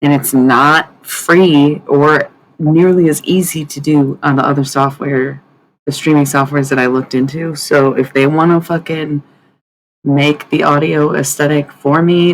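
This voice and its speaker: Raspy-voiced woman streamer, gravelly. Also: low voice, slight background noise, low-quality recording. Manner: rambling and loose, swears freely, chatting to viewers. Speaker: livestream host. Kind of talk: casual live-stream chat. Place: at home at night, others asleep nearby.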